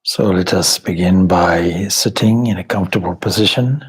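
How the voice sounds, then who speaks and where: A man leading a guided meditation as a spiritual teacher, speaking in measured, deliberate short phrases with long pauses and formal, contemplative wording. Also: sits low, breathy edge. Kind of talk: guided meditation, slow spoken instruction.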